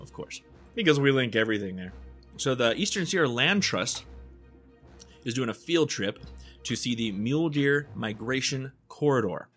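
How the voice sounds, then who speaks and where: A man's voice: on the low side, a bit bright. A man hosting a local news show, reading press releases casually and adding joking asides. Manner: laid-back, adds joking asides to the news. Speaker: local news podcast host.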